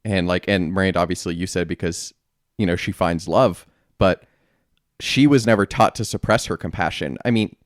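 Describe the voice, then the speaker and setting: A man co-hosting a casual podcast discussion, reasoning aloud in a measured, conversational way. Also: deep voice, no echo, clean studio sound. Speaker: podcast co-host.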